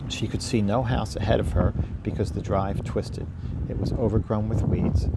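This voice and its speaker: A man narrates a storybook in a low, mellow voice with a gravelly edge. There is faint noise on the home recording. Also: low-quality recording. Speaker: story reader.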